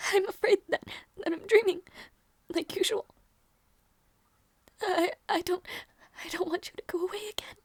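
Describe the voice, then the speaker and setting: Woman acting a very high-voiced, tender young princess. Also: no room sound, full character voice. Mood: pleading, tender. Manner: breathy, halting, stammering, often near whisper. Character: gentle young princess, devoted, lovesick and emotionally overwhelmed. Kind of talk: audio roleplay, intimate dramatic acting.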